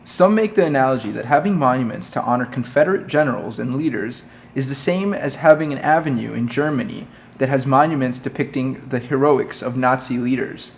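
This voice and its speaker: A man runs a street interview with brisk, prepared questions. His voice sits at an average pitch. He speaks plainly and moves quickly from one question to the next. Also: gravelly, slight background noise.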